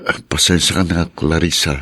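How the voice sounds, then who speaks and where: A man's voice: very deep voice, flat delivery, normal speed, bright, forceful tone, heavily acted. A man playing a devoted suitor who is ready to become a father, speaking hesitantly and from the heart. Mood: earnest. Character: earnest devoted man, soon-to-be husband. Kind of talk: radio drama acting.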